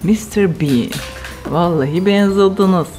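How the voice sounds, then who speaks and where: A woman's voice: fairly low pitch, unhurried, warm tone. Lady hosting a home game w kids, casual chat, bit of music behind her. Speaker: family vlog host. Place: living room at home.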